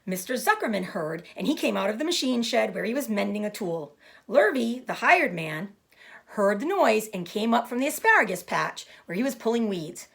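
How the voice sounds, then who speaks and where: A woman giving an expressive storybook read-aloud for children, pausing to explain things simply. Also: medium pitch, vocal fry. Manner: explanatory, addressing young listeners. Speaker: storybook reader.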